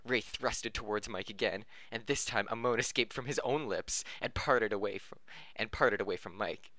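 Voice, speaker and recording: Bright-voiced man reading aloud, close and dry. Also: on the low side, moderate pace, no echo. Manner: stumbles over words, self-correcting; amused, often breaking into laughter. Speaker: podcast reader. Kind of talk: reading fan fiction aloud.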